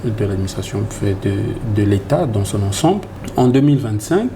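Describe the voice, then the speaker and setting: A Congolese man speaks in a fairly low voice as a government reform coordinator. He answers formally, restarting phrases and filling pauses, while clear background noise sits under the recording. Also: big pitch swings. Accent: Congolese French. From Democratic Republic of the Congo. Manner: formal, halting with many fillers and restarts. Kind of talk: interview answers.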